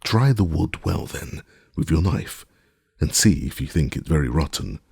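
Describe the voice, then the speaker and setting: A man voicing an excitable gentleman, feverish and commanding, who speaks in urgent, demanding questions. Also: extremely low pitch, normal speed, vocal fry, slightly rough, no room sound, clean studio sound, exaggerated voice. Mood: excited.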